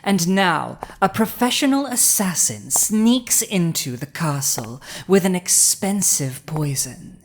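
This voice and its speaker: A woman voicing a commanding villainous female dragon in a dramatic audio roleplay, speaking deliberately with weighty pauses. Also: lowish voice, big pitch swings, heavily acted. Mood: accusing, intense. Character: villainous commanding female dragon, intense yet softening to compassion.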